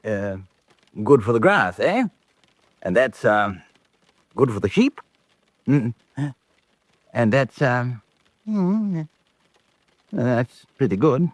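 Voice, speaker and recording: A plummy, bumbling squire. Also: male voice, mid-range voice, sing-song, takes it slowly, long pauses, no echo. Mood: awkward politeness. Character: jovial, flippant upper-class British politician, bumbling squire. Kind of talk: old-fashioned radio drama acting.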